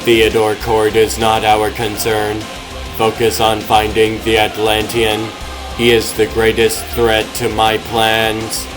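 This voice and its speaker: Casting: man as imperious emotionless AI overlord, precise formal diction, measured pace, music bed. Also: on the low side, completely flat delivery, poor audio. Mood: cold, commanding. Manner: clinical, formal, commanding. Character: cold, domineering, emotionless artificial intelligence overlord. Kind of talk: comic dub voice acting.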